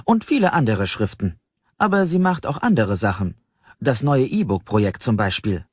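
A man's voice: mid-range voice, big pitch swings, normal speed, darkish tone, husky, voice pushed up. A man voicing a cheerful, welcoming doorkeeper of a secret library in an audiobook, friendly, amused and eager to explain. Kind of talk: audiobook character voice. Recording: slightly dull, roomy audiobook recording.

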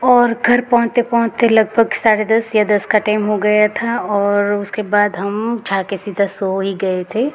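Flat-voiced woman narrating a travel vlog. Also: mid-range voice, unhurried, few pauses, a little hiss or hum. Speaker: vlogger.

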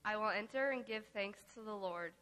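A woman's voice: medium pitch, normal speed, fairly bright tone, clear, resonant tone. A woman reading a psalm aloud as a scripture reader at a church service. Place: large church sanctuary.

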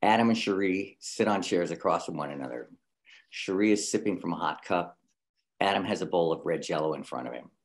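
A man with a low voice narrates a script at a table reading. He reads out scene headings and stage directions between the actors' parts. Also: animated, a little fast.

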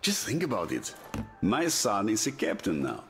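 An actor playing a stern, middle-aged military commander and proud father in a video game, speaking with measured authority. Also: on the low side, wildly swooping pitch, music in the background. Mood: warm, proud. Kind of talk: video game dramatic acting.

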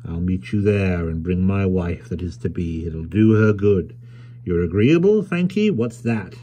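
A man's voice: sits low, animated, unhurried, mellow, a little hiss or hum. A man voicing a smug, domineering merchant in a storybook reading, pompous, clipped and sardonic. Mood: falsely friendly. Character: sneering, smug, domineering male merchant Tackleton. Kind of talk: audiobook character voice.

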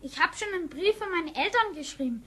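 An eager young boy in a sci-fi radio play. The voice is very high, earnest and chattering. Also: animated, quick pace, bright, forceful tone, exaggerated voice. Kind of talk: German radio play acting.

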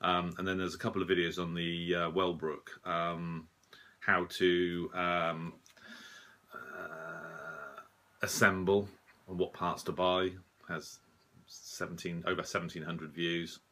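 Guy in his 40s, really low bright voice, bit rough from a late night, hesitant casual update. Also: level tone, slow. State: slightly rough and husky after a late night out. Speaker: shortwave radio hobbyist and video host. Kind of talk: casual unscripted update to camera.

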